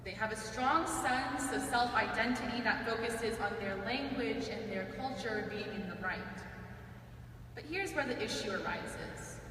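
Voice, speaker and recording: A woman's voice: a little high, fairly bright tone. Young woman giving a speech in an echoey hall. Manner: earnest, measured, with dry humor. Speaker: student speaker. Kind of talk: prepared speech. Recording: echoey hall, mic far off, faint hiss.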